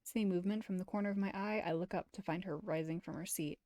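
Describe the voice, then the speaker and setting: A woman streamer reading a visual novel aloud as expressive first-person story narration. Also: fairly low pitch, quick pace, no echo.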